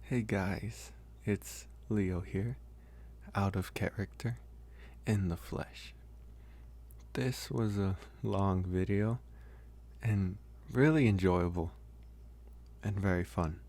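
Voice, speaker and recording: Deep-voiced man, slow casual outro. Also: darkish tone. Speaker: voice actor and channel host. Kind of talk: casual out-of-character outro to listeners.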